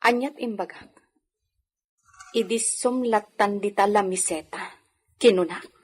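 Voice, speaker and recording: A woman's voice: medium pitch, unhurried, husky. An actress with an Ilocano accent playing a cold, curt lady of the house in a radio drama. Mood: cold anger.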